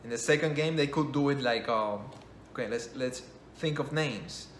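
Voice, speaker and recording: A man, not a native English speaker, rambles through a casual game review, restarting and correcting himself as he goes. His voice sits at a middle pitch and carries an echo from the room. A faint hum of ambience runs behind him. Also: normal speed. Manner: rambling, self-correcting, enthusiastic. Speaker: game commentator.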